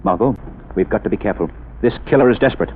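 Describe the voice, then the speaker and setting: A man playing a calm, wealthy amateur sleuth in a 1930s radio drama, measured and commanding. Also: fairly low pitch, natural voice. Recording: tinny old radio broadcast with faint hiss.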